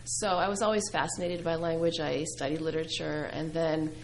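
A middle-aged woman who grew up speaking Greek, a poet answering interview questions thoughtfully, with many pauses and fillers. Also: low voice, little pitch movement, slight background noise, rough recording. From New England. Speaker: poet and filmmaker guest.

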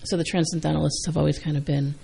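A woman interviewing a guest for a library, chatty and quick, often trailing off mid-sentence. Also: deep voice, level tone, vocal fry, warm tone. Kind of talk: casual interview.

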